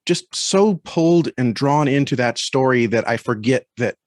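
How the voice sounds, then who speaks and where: A man with a mid-range voice speaks as a podcast guest in a dry recording. He is earnest and reflective, feeling his way haltingly through casual conversation. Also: mid-range voice, no room sound. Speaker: podcast guest and story critic. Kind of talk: casual interview talk.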